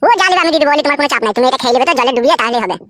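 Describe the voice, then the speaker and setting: A woman's voice: high-pitched, very fast, fairly bright tone, no room sound, clean studio sound. A woman voicing a scheming young village man in a cartoon, speaking in a wheedling, rustic way. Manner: wheedling, rustic dialect speech. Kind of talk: cartoon voice acting.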